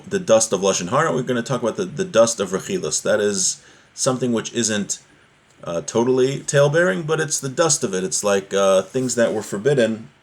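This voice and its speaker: Low-voiced man teaching a religion class. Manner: informal, conversational, explains with everyday examples. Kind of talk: explanatory religious lesson, reading source text then translating and explaining.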